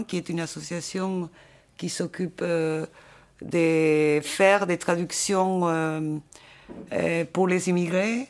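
A woman's voice: fairly low pitch, a little mellow. A woman from Argentina working as a translator, speaking hesitantly with many fillers in an interview.

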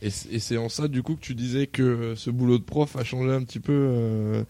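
A man's voice: medium pitch, steady tone, brisk, warm tone, no echo. A man interviewing a teacher for a school show, reading set questions.

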